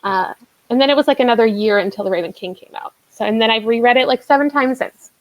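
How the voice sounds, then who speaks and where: A woman's voice: on the high side, fast talker, muffled recording. A woman co-hosting a casual book discussion, telling things in an informal, emphatic way. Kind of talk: casual chat about books.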